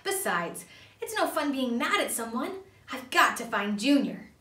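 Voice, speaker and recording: Storybook young male pea, read by a woman: anxious, flustered, high and bright, slightly roomy sound. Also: big pitch swings, unhurried, distant mic, heavily acted. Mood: resolved. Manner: breathless, flustered, confessional. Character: Percy, sputtering anxious young male pea, later contrite.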